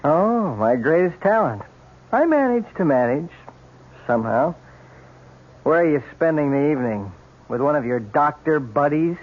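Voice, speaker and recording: A man's voice: a little high, big pitch swings, moderate pace. A man acting the part of a bitter, self-pitying, jealous lame husband in a 1970s radio drama, with a sarcastic, wounded manner. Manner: sarcastic, wounded, theatrically pointed. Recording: tinny, noisy 1970s radio broadcast.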